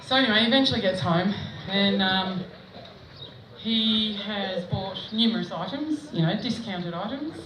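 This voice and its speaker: A woman's voice: mid-range voice, animated, moderate pace, a bit bright. Chatty Australian woman comic, echoey stage. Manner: chatty, self-mocking storytelling with frequent laughs. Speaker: stand-up comedian. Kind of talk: stand-up comedy set. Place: outdoor festival stage. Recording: echoey, distant mic with background noise.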